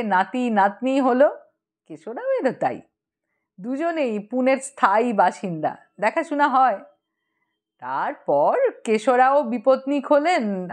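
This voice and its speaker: A cheerful young joker played by a woman narrator in a humorous audiobook. He is cheeky and gossipy, talking in an animated, teasing, conversational way. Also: fairly high pitch. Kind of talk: audiobook character dialogue.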